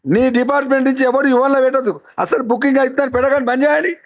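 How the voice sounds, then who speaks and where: A man's voice: very high voice, flat delivery, racing, no echo. A man with a Telangana accent making a heated, insistent complaint as a farmer on a phone call, repeating his demands argumentatively. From Telangana, India. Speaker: farmer caller complaining to an official. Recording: dull lo-fi phone call recording.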